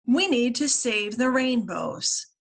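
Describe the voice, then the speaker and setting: Woman as little crayon character, childlike, high-pitched, dramatic, exclamatory, excitable, children's storybook. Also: slow pace, vocal fry, heavily acted. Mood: excited.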